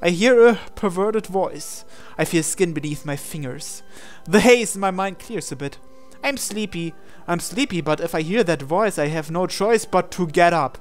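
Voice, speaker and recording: Higher-pitched man narrating a game playthrough. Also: animated, normal speed, hardly any pauses, faint music behind. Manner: reads prose aloud, breaks into incredulous asides. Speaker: gamer reading a visual novel aloud.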